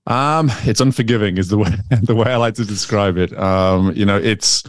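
Man, 30s, English accent with American traces, chatty with fillers, smooth voice, dry close sound. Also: on the low side, moderate pace, no echo. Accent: Northern English with American influence. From England. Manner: chatty, many fillers and restarts. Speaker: guest, swim coach. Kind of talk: casual interview conversation.

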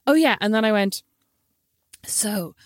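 A woman's voice: medium pitch, animated, unhurried, no room sound, clean studio sound. A woman, co-hosting a casual chat with her sister, telling stories animatedly and laughing often.

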